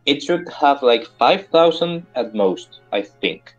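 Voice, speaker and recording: Halting man on muffled voice chat. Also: medium pitch, expressive, faint music behind. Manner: halting, searching for words, clears throat. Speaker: fellow player. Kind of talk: casual multiplayer voice chat.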